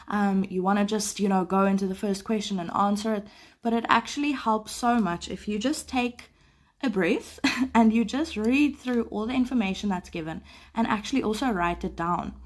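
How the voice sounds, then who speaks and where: A woman who is sick teaches a live maths lesson, explaining patiently and clearing her throat often. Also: medium pitch, normal speed. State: sick, voice a bit off. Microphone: phone camera over paper.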